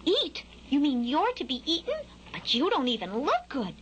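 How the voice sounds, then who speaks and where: A woman's voice: sits high, huge pitch swings, normal speed, highs cut off, slight background noise, voice pushed up. A woman voicing a naive, wistful little skylark chick in a storybook, with a childlike way of talking. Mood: puzzled. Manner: childlike, matter-of-fact.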